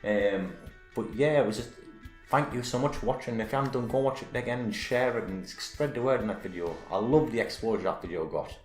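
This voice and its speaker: A man with a deep voice, hosting a casual channel update vlog, rambling and correcting himself with enthusiasm. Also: brisk. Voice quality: self-described deep voice. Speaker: YouTube channel host. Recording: roomy echo with faint background music.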